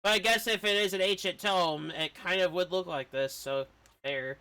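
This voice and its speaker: A man's voice: high voice, moderate pace, sharp tone, smooth. A man giving casual, wry, muttered reactions as he streams a video game playthrough. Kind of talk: casual live playthrough commentary.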